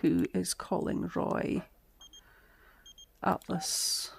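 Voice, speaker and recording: A woman, chatting through a live-streamed game, a little hoarse and talking to her characters. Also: fairly low pitch, big pitch swings, very slow, slight background noise. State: slightly hoarse, losing her voice. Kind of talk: live-stream gameplay commentary.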